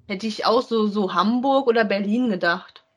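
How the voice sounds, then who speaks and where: A woman with a medium-pitched voice speaks in a casual podcast style. She is informal and forms her opinions aloud as she goes. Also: normal speed. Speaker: podcast co-host. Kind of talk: casual conversational review of audio dramas.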